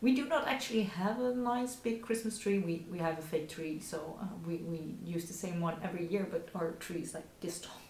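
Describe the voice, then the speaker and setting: A woman's voice: on the low side, normal speed, few pauses, darkish tone. A woman in her thirties with a Dutch accent, hosting a knitting podcast in a hesitant, casual chat full of fillers and little laughs. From Netherlands. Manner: hesitant, many fillers and restarts, frequent small laughs. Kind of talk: casual show-and-tell chat. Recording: echoey room with faint background hiss.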